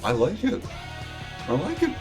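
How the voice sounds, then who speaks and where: A young man streaming a game in excitable, casual commentary, swearing and laughing often. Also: sits high, normal speed. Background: faint game music.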